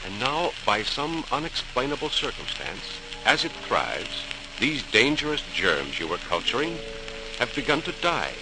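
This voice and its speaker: A man's voice: medium pitch, big pitch swings, measured pace. A man narrating a 1940s radio drama as a solemn, suspenseful storyteller, theatrical and emphatic, on a crackling old recording. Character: solemn, suspenseful male storyteller of scientific discovery.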